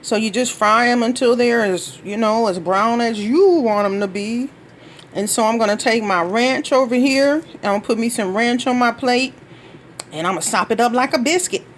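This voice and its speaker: A woman's voice: a little high, normal speed, a little hiss or hum. A middle-aged woman from Minnesota giving a chatty, folksy cooking demonstration in her home kitchen, talking straight to viewers. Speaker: cooking vlogger.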